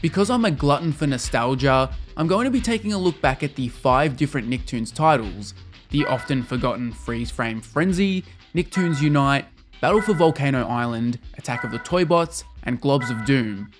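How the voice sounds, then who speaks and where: A young adult man hosts a scripted review in video essay style. His voice sits at a middling pitch for a man. Music plays behind him as he narrates. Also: animated, moderate pace. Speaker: video host and game reviewer.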